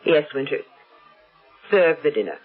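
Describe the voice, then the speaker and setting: A woman's voice: sits low, very animated, a little slow, phone-quality sound. A woman acting in an old radio drama as an anxious, practical older American society mother, hesitant and pleading. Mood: concerned, controlled. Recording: band-limited old radio recording with music.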